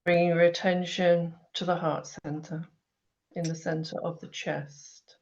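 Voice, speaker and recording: Slow, soft woman guiding a meditation. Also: lowish voice, level tone. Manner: slow, soothing instructions. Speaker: meditation guide. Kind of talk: guided meditation. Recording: dull lo-fi online audio.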